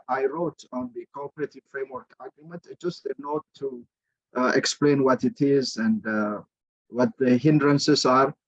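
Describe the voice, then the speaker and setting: A man moderating an online forum over a video call, courteous and halting with many filler pauses. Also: fairly high pitch, no room sound, dull audio.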